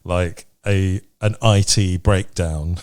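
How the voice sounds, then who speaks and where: A man's voice: deep voice, fairly even intonation, slow, darkish tone, dry recording. A man hosting a podcast in a casual, conversational style. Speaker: podcast host.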